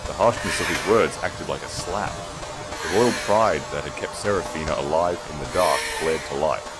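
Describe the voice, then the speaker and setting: A man's voice: a bass voice, moderate pace, poor audio. A man giving a dramatic, scripted narration of a period romance story. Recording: voice over loud music.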